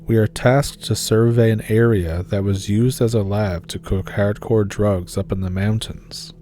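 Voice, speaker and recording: A man calmly reading scary stories aloud as the narrator of a horror channel. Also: lowish voice, slight background noise.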